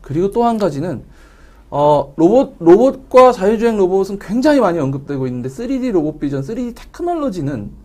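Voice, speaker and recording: Mellow, animated higher-pitched man. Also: a little fast. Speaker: company representative presenting at a webinar. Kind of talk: explanatory webinar interview talk, technical.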